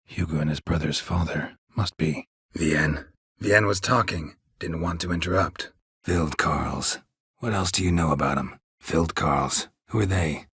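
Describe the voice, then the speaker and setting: An actor playing a gruff, weary, stoic monster hunter in a video game, a gravelly voice and a terse, deadpan delivery. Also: male voice, a little slow, no echo, exaggerated voice. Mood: matter-of-fact, grim. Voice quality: husky, gravelly low voice. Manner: terse, clipped, deadpan, dry. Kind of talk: video game character dialogue.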